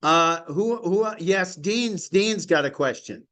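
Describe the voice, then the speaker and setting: A man's voice: high-pitched, expressive, slow pace, fairly bright tone. A man teaching an online study class, enthusiastic and rambling, with frequent chuckles. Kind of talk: informal lecture on a call.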